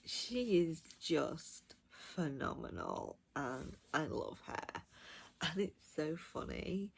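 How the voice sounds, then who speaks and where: A woman's voice: sits low, creaky, slightly rough. A woman giving a casual, chatty book review with gushing, emphatic enthusiasm. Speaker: audiobook reviewer.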